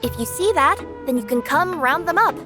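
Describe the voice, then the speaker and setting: A woman's voice: high-pitched, expressive, moderate pace. An actress voicing an earnest, dutiful young courier girl with two cat tails, in a game cutscene. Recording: game cutscene with clear music.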